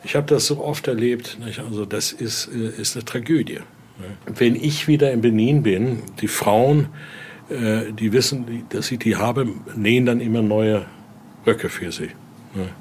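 A man giving an informal, halting explanation as a guide, often ending phrases with little questioning tags. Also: medium pitch, darkish tone, slight background noise. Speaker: interviewee, exhibit guide and Voodoo expert. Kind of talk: informal spoken explanation during a guided tour.

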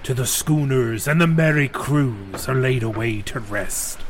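Slow-paced man reciting poetry. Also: mid-range voice, slight background noise. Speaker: poetry reader.

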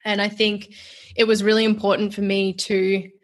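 A woman's voice: medium pitch, level tone, moderate pace. An Australian woman, a young adult, talking openly and earnestly as a guest on a podcast interview. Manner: talkative, earnest, open.

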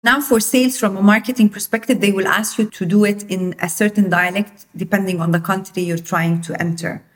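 A woman from Jordan, a company founder, talks as a guest in an interview. She explains things in detail and often says "like" as she goes. Her voice sits at a middle pitch. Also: fairly even intonation, brisk. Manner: explanatory, uses filler "like" often. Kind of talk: interview conversation.

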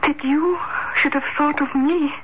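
An actress playing a mysterious, demure and gently coquettish lady in a 1970s radio drama, halting and tremulous with theatrical sighs. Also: high voice, fairly even intonation. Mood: wondering, moved. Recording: muffled, noisy old radio broadcast.

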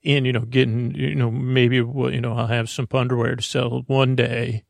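A man hosting a sleep podcast in a creaky, gravelly voice, rambling haltingly through whimsical tangents and imagined back-and-forth with himself. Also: mid-range voice, flat delivery, no room sound. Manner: meandering, tangent-prone, halting; whimsical wordplay, talks to himself in imagined dialogue. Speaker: podcast host.

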